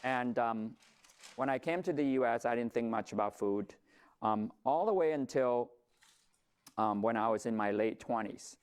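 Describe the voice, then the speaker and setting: A man's voice: mid-range voice, moderate pace, smooth. Brief: middle-aged man from Vietnam, founder presenting to an audience, easygoing storyteller, mid-range voice. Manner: conversational storytelling with light humor, occasional fillers. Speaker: company founder giving a talk.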